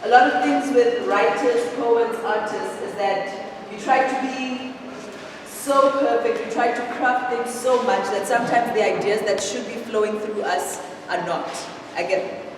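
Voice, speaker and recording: A woman's voice: a little high, measured pace. A woman leading a writing workshop, asking the students questions and mixing in local-language words. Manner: lively teacher, asks questions, mixes in local-language words. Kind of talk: leading a class exercise. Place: school hall. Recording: echoey hall, distant mic, background noise.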